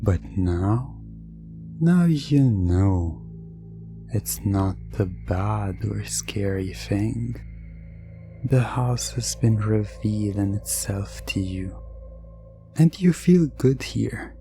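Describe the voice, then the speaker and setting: Very slow, creaky hypnotic narrator. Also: low voice, animated, music in the background. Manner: deliberate, repetitive phrasing with lingering pauses. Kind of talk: slow hypnotic ASMR roleplay narration in second person.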